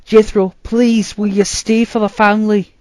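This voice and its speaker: A man's voice: high-pitched, flat delivery, moderate pace, a little mellow, no room sound, pitched above the performer's own voice, close to an everyday voice. A man voicing an anguished young farm lad, emphatic and imploring, in a storybook reading. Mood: pleading.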